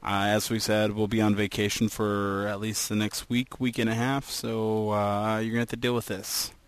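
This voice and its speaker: A man's voice: on the low side, flat delivery, moderate pace, no echo. A man co-hosting a podcast in casual, joking chat. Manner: joking, does silly voices. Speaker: podcast co-host.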